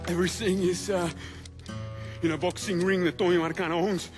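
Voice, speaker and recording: A man playing a frightened, defiant gangster on the run in a video game, speaking agitated, halting English with a Cuban accent. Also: high voice, flat delivery, over music, natural voice. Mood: anxious, wary. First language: Spanish. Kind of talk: cinematic video game acting.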